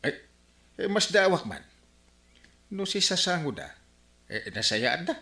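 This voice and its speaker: Casting: comic Ilocano village captain, bright voice, highly varied intonation, echoey radio drama sound. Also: male voice, sing-song, a little slow, heavily acted. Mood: unworried. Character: village captain, animated, dismissive comic official. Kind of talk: Filipino comedy radio drama acting. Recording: roomy, echoing studio sound.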